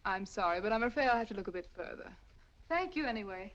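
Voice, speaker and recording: An actress playing a cool, scheming female criminal in a 1940s film, with a smooth, composed, probing manner. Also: mid-range voice, animated, quick pace, a bit bright, natural voice. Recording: dull old film soundtrack with hiss.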